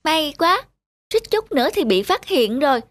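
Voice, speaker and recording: High-pitched, eager little carrot. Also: female voice, normal speed. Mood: relieved, secretive. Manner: expressive, talking to self. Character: childish, kind-hearted young carrot, eager and secretive. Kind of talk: cartoon voice acting. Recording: loud music under the voice.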